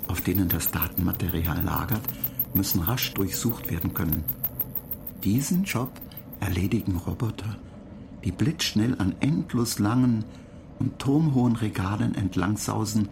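An actor playing a polite, earnest computer program that explains things in a measured way in a radio play. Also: male voice, lowish voice, vocal fry, background noise. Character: polite, apologetic male computer program, slightly touchy.

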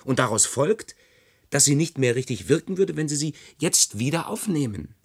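Animated, sly persuader. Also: male voice, medium pitch, moderate pace, husky. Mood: coaxing, persuasive. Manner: coaxing, mock-reasonable, animated. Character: sly, persuasive man spinning plausible technical nonsense. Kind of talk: German science-fiction radio play acting.

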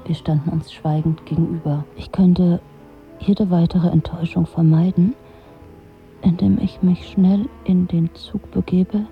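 A world-weary middle-aged woman tells her story in a radio play. Her voice is very low, dry and brooding, with a sardonic edge. The sound is slightly dull, with faint music behind her. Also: fairly even intonation, unhurried. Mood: brooding, reflective. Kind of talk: literary radio drama monologue.

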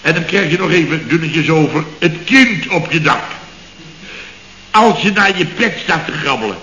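A ranting sarcastic boss. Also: male voice, on the high side, animated, normal speed, bright, forceful tone. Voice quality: sarcastic, ranting, mimics others mockingly. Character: blustering exasperated male company boss. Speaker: boss of a building firm. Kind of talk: 1960s radio comedy acting. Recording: roomy dull old radio recording with faint noise.

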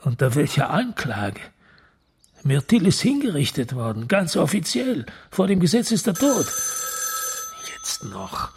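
An actor playing a skeptical, authoritative police chief in a German crime radio drama, terse, with a husky and gravelly voice. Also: male voice, on the high side, huge pitch swings, unhurried, darkish tone, exaggerated voice. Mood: challenging.

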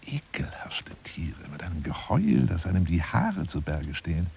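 An actor playing a self-dramatizing, obsessive big-game hunter monologuing on the telephone in a radio play, theatrical and often dropping into breathy whispers. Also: male voice, low voice, wildly swooping pitch, normal speed, a little hiss or hum, full character voice. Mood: lecturing, musing. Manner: theatrical, swinging from hushed murmurs to agitated outbursts.

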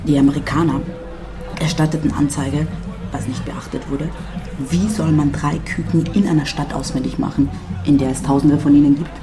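Female storyteller, low voice, dry literary narration, radio drama, music bed. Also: fairly even intonation, normal speed. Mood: dry, storytelling. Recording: music under the voice.

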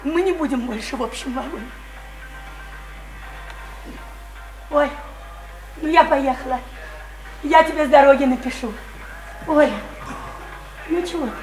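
A woman's voice: sits high, normal speed, long pauses, darkish tone, natural voice. An actress playing a distraught, feverishly eager young provincial woman in a Russian radio play, with an emotional, pleading delivery. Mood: hurried, wistful. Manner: emotional, pleading, swinging from chatter to wailing. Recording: roomy radio play recording, faint music.

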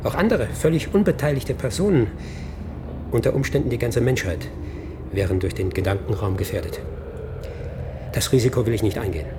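An actor playing a weary, haunted fugitive scientist in a German science-fiction radio drama, speaking gravely and formally. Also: male voice, medium pitch, expressive, brisk, pauses often, background noise, lightly acted. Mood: grave, resolute.

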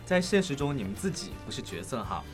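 A man's voice: medium pitch, big pitch swings, fast, over music. A man speaking as a cast member in a casual group chat on a talk show.

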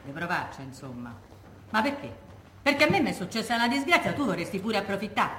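An actress playing a proud, irascible, solitary Roman war widow, giving blunt colloquial testimony in a Roman accent for the theatre. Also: female voice, medium pitch, sing-song, fast, bright, forceful tone. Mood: resigned, wry. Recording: echoey room, faint background noise.

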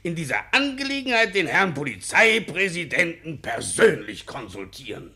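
A man playing a pompous, indignant morality society president in a German radio play, blustering in a husky, sharp voice. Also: fairly high pitch, big pitch swings, moderate pace, faint music behind, heavily acted. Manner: pompous, blustering, repeats words. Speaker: actor. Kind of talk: German radio play acting, satirical comedy.

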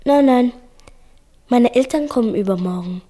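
A woman's voice: on the high side, animated, normal speed, mellow, lightly acted. A woman playing a small, brave schoolboy in a radio drama, with a hesitant, earnest manner. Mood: brave, reassuring. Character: small, brave, timid-hearted injured schoolboy.

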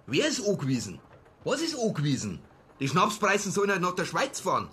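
An actor playing a grumpy, xenophobic Bavarian ex-butcher in a radio play, blustering and grumbling in dialect. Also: male voice, high voice, huge pitch swings, brisk, sharp tone, slightly rough, full character voice. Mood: blustering, indignant. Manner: blustering, grumbling, rhetorical questions.

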